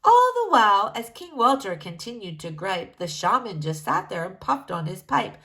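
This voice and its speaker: A woman's voice: medium pitch, very animated, normal speed, fairly bright tone. A woman reading a rhyming children's story aloud, theatrically, with sing-song emphasis. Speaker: storybook reader.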